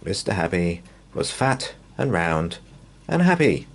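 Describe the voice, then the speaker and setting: Low-voiced man reading a bedtime story, very expressive. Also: gravelly, a little hiss or hum. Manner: measured, drawn-out, expressive phrasing. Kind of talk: bedtime story read-aloud for young children.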